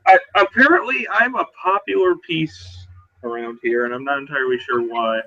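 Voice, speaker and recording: Man playing a very bright-voiced, plain-spoken werewolf. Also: a little high, highs cut off, pitched above the performer's own voice. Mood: puzzled, casual. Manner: casual, halting. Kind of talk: improvised in-character roleplay dialogue.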